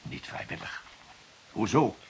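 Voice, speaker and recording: A man's voice: measured pace, close to an everyday voice. An actor playing a wealthy, vain 55-year-old widower in a radio drama, defensive and indignant, stammering and repeating his words. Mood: shaken, self-reproachful. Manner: stammering, repeating words, blustering when challenged. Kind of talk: Dutch radio drama dialogue.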